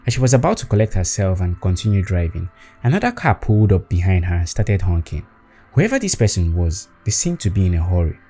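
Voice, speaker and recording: Deep, mellow male storyteller. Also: big pitch swings, brisk, soft background music. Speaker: storyteller, host. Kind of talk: scripted spooky story narration.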